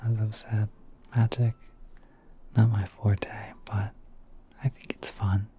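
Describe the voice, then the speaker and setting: A man playing a gentle, courteous spider prince in a whispered ASMR roleplay, speaking softly and politely in an unhurried, musing way. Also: fairly low pitch, level tone, a little hiss or hum. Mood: gently musing.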